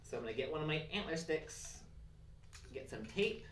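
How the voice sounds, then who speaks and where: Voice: medium pitch, expressive, normal speed. Roomy, distant-sounding children's craft presenter. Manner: encouraging, simple instructions for children. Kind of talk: step-by-step craft tutorial. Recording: roomy, distant mic, faint noise and clicks.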